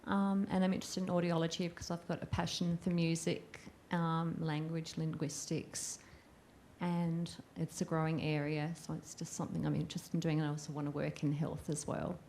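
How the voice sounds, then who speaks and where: A woman's voice: low voice, little pitch movement, normal speed, a little mellow, a little hiss or hum. A woman, introducing herself as a new student in a matter-of-fact way. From Adelaide, Australia.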